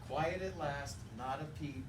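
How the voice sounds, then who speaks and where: A man reading a picture book aloud to children as their teacher, in a playful, rhythmic way with pauses for them to join in. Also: a little high. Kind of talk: expressive children's read-aloud. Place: indoor classroom, recorded from a distance. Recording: distant, echoey, noisy room recording.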